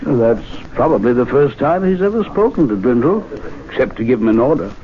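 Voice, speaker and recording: A plain-spoken gentleman at a club, played by a man, with a lively, dry delivery. It is heard on a muffled old radio drama recording. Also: medium pitch, brisk, a little hiss or hum, lightly acted. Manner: dry, matter-of-fact. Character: dry, matter-of-fact gentleman club member. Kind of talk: 1970s radio drama acting.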